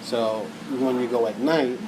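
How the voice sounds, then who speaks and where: A man from Puerto Rico with a Puerto Rican accent, telling stories in a relaxed, casual way on a podcast. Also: mid-range voice, a little slow, noisy background. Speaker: podcast co-host. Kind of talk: casual chat.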